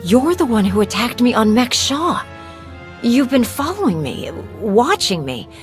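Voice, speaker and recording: An actress voicing a confident, guarded commander in a video game, direct and self-assured. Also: female voice, on the high side, very animated, unhurried, soft background music. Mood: questioning, tense. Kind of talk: video game cutscene acting.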